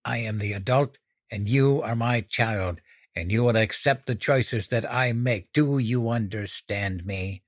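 A man's voice: on the low side, unhurried, no room sound, studio quality. A man voicing a furious, domineering mother in a dramatic audiobook, stern, indignant and emphatic as the character scolds a child. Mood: menacing, stern.

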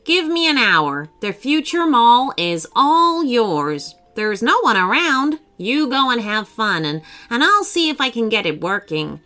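A woman voicing a nervous, excitable male robot inventor in a children's story, gasping and pleading dramatically. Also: on the high side, a little slow, few pauses, voice pushed up, heavily acted. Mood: flustered.

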